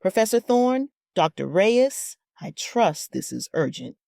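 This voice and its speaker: A woman's voice: medium pitch, big pitch swings, unhurried, creaky, warm tone, no room sound, studio quality. A woman playing a stern, cautious director in a sci-fi audio drama, speaking with commanding authority. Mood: indignant.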